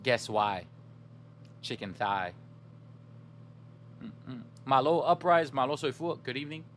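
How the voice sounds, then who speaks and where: A man's voice: mid-range voice, animated, a little slow, fairly bright tone, slight background noise. A man whose first language is Somali, chatting casually and upbeat with his live-stream audience while gaming. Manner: chatty, upbeat, talks straight to chat.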